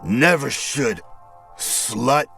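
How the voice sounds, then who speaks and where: A man's voice: mid-range voice, lively intonation, very slow, vocal fry, a bit bright, soft background music, pitched above the performer's own voice, heavily acted. A man voicing a furious male neighbour who screams and spits insults in an audio horror story.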